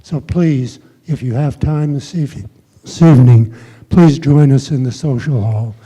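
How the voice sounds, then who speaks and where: An older man addresses a meeting slowly and deliberately, one phrase at a time. His voice is very soft and mellow, heard through dull sound in a reverberant hall. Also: medium pitch, breathy edge. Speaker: activist addressing a community meeting. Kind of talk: spontaneous public appeal. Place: large synagogue hall, indoors. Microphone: handheld microphone.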